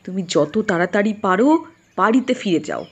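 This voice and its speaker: A woman's voice: medium pitch, expressive, moderate pace, husky. A woman voicing a bitter middle-aged aunt who urgently warns her nephew, in an audio drama. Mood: angry. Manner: urgent, pleading warnings.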